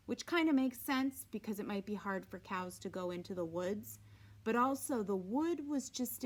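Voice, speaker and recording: A woman reading a storybook aloud with deliberate, dramatic phrasing and informal asides, sometimes dropping to a hush. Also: mid-range voice. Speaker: read-aloud reader.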